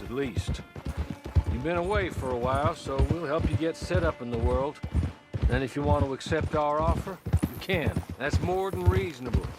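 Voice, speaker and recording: An actor playing a courteous, discreet manservant in a Western video game, formal and politely dry. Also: male voice, a little high, lightly acted. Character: courteous, discreet manservant to a wealthy frontier widow. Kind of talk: dramatic video game dialogue.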